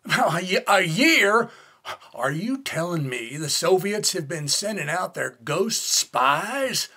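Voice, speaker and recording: An actor playing a blustering, irascible army colonel in a radio play, sputtering and commanding. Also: male voice, high-pitched, very animated, slow pace, bright, forceful tone, exaggerated voice. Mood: incredulous, irritated. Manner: sputtering, incredulous, gruffly commanding.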